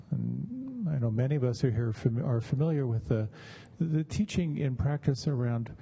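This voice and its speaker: Older-ish guy doing a meditation talk, super soft dark voice, bit of fry, pauses a lot. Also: medium pitch. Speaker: meditation teacher giving a talk. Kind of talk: conversational dharma talk with personal stories.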